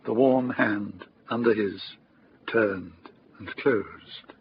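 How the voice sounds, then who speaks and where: A man narrating a radio drama as a calm, literary storyteller, unhurried and lyrical. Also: lowish voice, husky, lo-fi sound, exaggerated voice.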